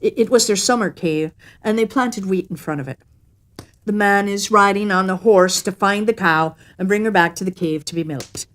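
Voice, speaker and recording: A woman storyteller narrating a children's tale expressively, with a measured storytelling cadence. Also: mid-range voice, a bit bright.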